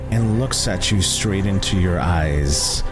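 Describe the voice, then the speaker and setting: A man narrating as game master of a tabletop roleplay, deliberate and dramatic, building suspense in each scene. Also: low-pitched, over music, low-quality recording.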